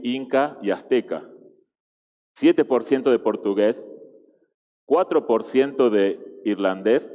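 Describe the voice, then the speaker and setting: A man's voice: on the low side, warm tone, big-room sound, dull audio. A man from Argentina with an Argentine Spanish accent, giving a chatty sermon full of restarts and tag questions. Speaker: guest preacher. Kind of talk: conversational sermon.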